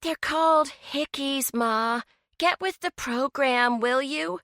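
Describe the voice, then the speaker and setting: Lady voicing a snappy teen girl, raised pitch, sassy and bored, crisp dry sound. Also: slow, fairly bright tone, dry recording, exaggerated voice. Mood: defiant. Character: defiant teenage girl, sassy and dismissive.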